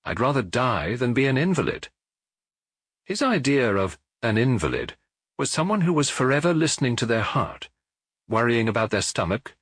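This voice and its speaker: Husky, expressive male audiobook narrator. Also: mid-range voice, dry recording. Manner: measured, expressive storytelling.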